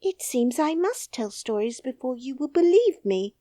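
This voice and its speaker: A woman voicing a calm, dignified little princess who speaks quietly and firmly in an audiobook. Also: high-pitched, moderate pace, dry recording, studio quality, pitched above the performer's own voice. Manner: quiet, composed, firm. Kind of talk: audiobook character voice.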